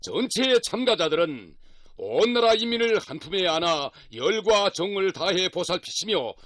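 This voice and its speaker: Male announcer, North Korean accent, high voice, dry sound; solemn, declamatory delivery of scripted state news. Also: fairly bright tone, dry recording.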